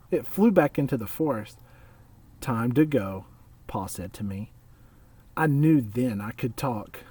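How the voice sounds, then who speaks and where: Unhurried man reading a storybook aloud. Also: mid-range voice, a little mellow, husky, far from the mic. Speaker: storybook reader. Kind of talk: read-aloud children's storytelling.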